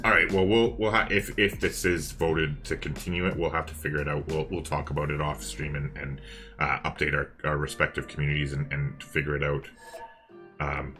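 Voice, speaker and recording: A man's voice: very deep voice, level tone, a little fast, sharp tone, soft background music. A man co-hosting a live game stream, chatting casually and thinking aloud to his viewers. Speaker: co-host of a livestreamed multiplayer strategy game.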